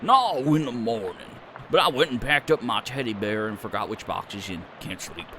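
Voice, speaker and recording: A man's voice: fairly low pitch, expressive, moderate pace, noisy background, pitched below the performer's own voice, close to an everyday voice. A man voicing an aging, homely male farmer who talks in a rambling country drawl. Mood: folksy.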